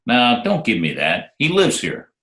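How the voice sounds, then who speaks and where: An actor playing a wisecracking, sardonic private detective who also narrates, in a 1940s-style radio drama. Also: male voice, mid-range voice, huge pitch swings, a little slow, pushed and bright, exaggerated voice. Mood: pressing. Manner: dry, sardonic. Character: wisecracking hard-boiled private detective, narrating his case. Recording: roomy remote home recording, faint music.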